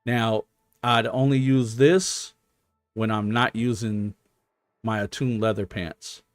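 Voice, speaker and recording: A man with a lowish voice talks through a game on a casual stream. He speaks slowly, working out his thoughts aloud with hesitant explanations. The sound is close and dry. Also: fairly even intonation, dry recording, soft background music. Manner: thinking out loud, explanatory, hesitant. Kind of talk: casual gaming stream commentary.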